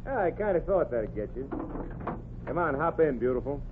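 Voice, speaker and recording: An actor playing a brash, flirty small-town wolf with slangy come-ons in a 1940s radio comedy. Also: male voice, medium pitch, very animated, moderate pace, natural voice. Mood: flirty, coaxing. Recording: noisy, tinny 1940s radio recording.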